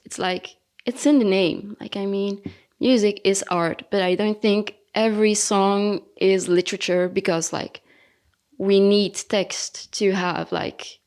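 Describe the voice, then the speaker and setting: A young woman with a Spanish accent, thoughtful and a little halting, on a student podcast. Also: medium pitch, darkish tone. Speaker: student panelist.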